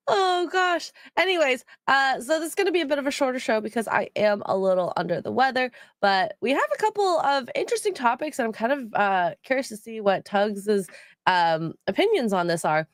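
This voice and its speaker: A woman's voice: fairly high pitch, big pitch swings, normal speed, no room sound, studio quality. A woman hosting a casual livestream chat, sounding nasal from a cold, rambling with many ums as she reads out donations. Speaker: stream co-host.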